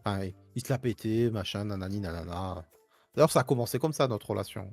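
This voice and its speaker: A man's voice: on the low side, fairly quick, gravelly, darkish tone, faint music behind, voice pushed down. A man voicing a hesitant young male gamer who stammers as he explains himself. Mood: awkward. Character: young Australian male gamer traveler, awkward.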